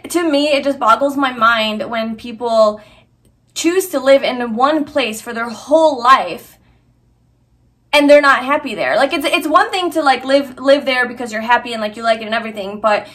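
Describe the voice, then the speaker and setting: Young woman, fairly high voice, earnest chatty vlog talk, lots of ums and restarts. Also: moderate pace, fairly bright tone. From Hungary. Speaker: vlogger. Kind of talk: casual personal vlog talk.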